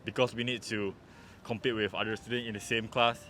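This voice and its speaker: A man's voice: fairly low pitch, fairly even intonation, a little fast, pushed and bright. A man in his twenties with a Malaysian accent, a student chatting casually in an interview. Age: 20s. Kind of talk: casual street interview answers. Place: outdoors on a campus. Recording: faint outdoor urban background noise. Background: faint outdoor noise.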